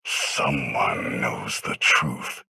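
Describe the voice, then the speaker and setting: An actor playing a cruel, brooding emperor in a video game, with a very deep, harsh rasp and a slow, commanding manner. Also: male voice, sharp tone, dry recording, heavily acted. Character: cruel, brooding tyrant emperor, cold and menacing. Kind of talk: video game cutscene acting.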